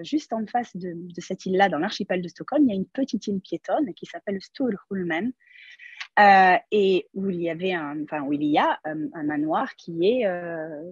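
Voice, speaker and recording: A woman novelist with a husky voice explains her book in an animated, halting storytelling way. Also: medium pitch, no echo. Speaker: novelist guest. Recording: dull lo-fi webinar audio.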